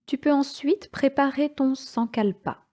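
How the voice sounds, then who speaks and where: Female meditation guide, soft mellow tone, very slow and calm delivery, short phrases with spacious pauses. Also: high voice. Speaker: yoga nidra instructor.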